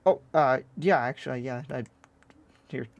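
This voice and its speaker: A man playing an easygoing, agreeable newcomer to town in an improvised Minecraft anime roleplay, replying casually and a little haltingly. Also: mid-range voice, gravelly, no room sound, muffled recording. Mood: casual, hesitant.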